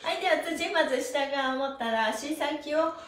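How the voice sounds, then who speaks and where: A woman's voice: high-pitched, normal speed, a bit bright. A middle-aged woman leading a stretching lesson in a polite, bubbly, sing-song way, talking to a camera in a small room. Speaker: stretching instructor and livestream host. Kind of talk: cheerful exercise lesson, chatting and counting chants. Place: small indoor studio room, sitting on a mat. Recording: echoey room with music.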